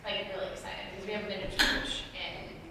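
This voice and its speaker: A woman's voice: mid-range voice, level tone, a little fast. A woman pastor giving a casual, chatty sermon to her church, laughing easily. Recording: echoey hall audio from far off with faint noise.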